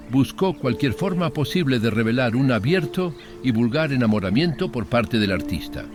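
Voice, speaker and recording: Expressive male horror narrator over music. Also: mid-range voice. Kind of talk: scripted horror story narration. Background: background music.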